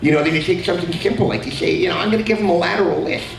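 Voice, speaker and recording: A middle-aged man, a voice actor on a convention panel, talking in an animated, jokey way and slipping into character voices. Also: sits high, racing, vocal fry. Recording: echoey hall, far from mic, noisy.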